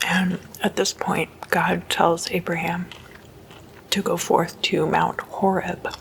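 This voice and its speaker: A woman giving a whispered ASMR talk, explaining stories in a hushed, unhurried and informal way. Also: fairly low pitch, gravelly, a little hiss or hum, poor audio. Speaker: host of a spooky ASMR series.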